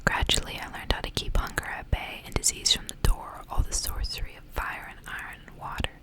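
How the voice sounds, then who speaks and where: Whispering woman reading a story, ASMR style. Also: a little fast. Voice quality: breathy unvoiced whisper.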